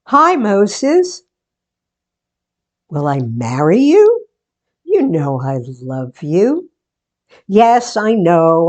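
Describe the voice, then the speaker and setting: A woman playing a sentimental, playful daughter and hostess in a 1940s-style radio theatre monologue, with deliberate, theatrical delivery. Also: on the low side, a little mellow, full character voice. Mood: affectionate, playful. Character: sentimental daughter, book-show hostess, playfully resisting marriage.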